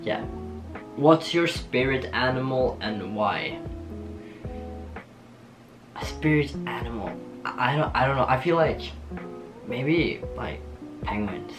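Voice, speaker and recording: A young man from Norway with a Norwegian accent, chatting casually to camera in a small home studio, laughing often and pausing with ums. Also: medium pitch. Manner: relaxed, giggly, lots of ums and restarts. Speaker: vlogger. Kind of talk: casual get-to-know-me chat. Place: small bedroom studio with shelves and posters. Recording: soft guitar music underneath.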